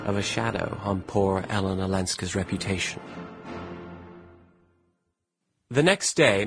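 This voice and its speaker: An actor playing a genteel, wistful young New York gentleman in an audiobook drama, speaking in a measured, formal and refined way. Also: lowish voice, natural voice. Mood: wry, reflective. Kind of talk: audiobook drama narration and dialogue. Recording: music behind the voice.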